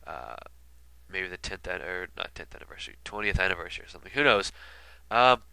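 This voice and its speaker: A man's voice: low voice, lively intonation, quick pace, gravelly, bright, forceful tone, clear, resonant tone. Stuffy-nosed man rambling casually. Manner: rambling, many fillers and asides. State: sick with a stuffy nose. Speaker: video commentator. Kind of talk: casual album retrospective commentary.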